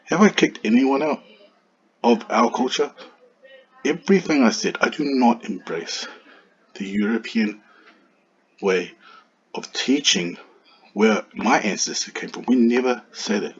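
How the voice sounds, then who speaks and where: A man's voice: medium pitch, animated, a little slow, long pauses, slightly rough. A man arguing back at a commenter in a heated monologue, firing off rhetorical questions. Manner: argumentative, full of rhetorical questions. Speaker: vlogger replying to a commenter. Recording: dull lo-fi recording.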